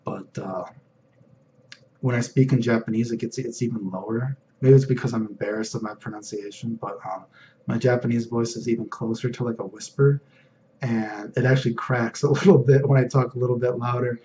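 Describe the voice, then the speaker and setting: Young man with a deep, mellow voice. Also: fairly even intonation, a little fast, lo-fi sound, a little hiss or hum. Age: young adult. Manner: rambling, filler-heavy, frequent "you know what I mean". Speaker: vlogger. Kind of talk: casual personal vlog.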